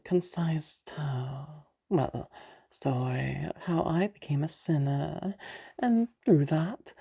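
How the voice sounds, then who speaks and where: A woman playing a sly, teasing female demon of lust in an audio roleplay, bargaining patiently in a breathy, creaky voice with halting pauses and whispers. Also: sits low, warm tone, heavily acted. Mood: sly, coaxing.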